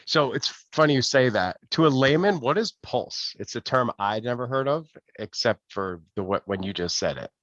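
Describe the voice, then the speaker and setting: A man's voice: medium pitch, expressive, moderate pace, hardly any pauses, fairly bright tone, dry recording, lo-fi sound. A man casually asking interview questions in an encouraging, affirming way.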